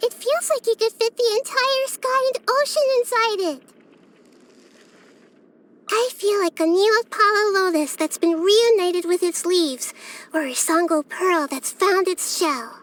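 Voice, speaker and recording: A woman voicing a childlike girl god of wisdom for a video game, gentle, curious and thoughtful, speaking softly and carefully. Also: extremely high pitch, heavily acted. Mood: excited, playful. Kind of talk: scripted video game character voice lines.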